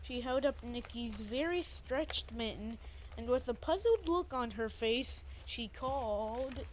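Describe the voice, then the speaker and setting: Woman narrating, slightly high voice. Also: animated, takes it slowly, slight background noise, rough recording. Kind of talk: scripted storybook narration.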